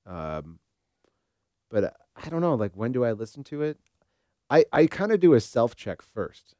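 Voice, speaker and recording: A man's voice: medium pitch, big pitch swings, measured pace, warm tone, dry recording, clean studio sound. A man co-hosting a talk show as a therapist, speaking casually and reflectively, tentatively working out his thoughts aloud.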